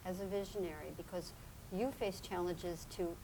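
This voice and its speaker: A woman's voice: lowish voice, steady tone, moderate pace, background noise. A woman interviewing a guest, asking questions in an encouraging way with frequent affirming murmurs.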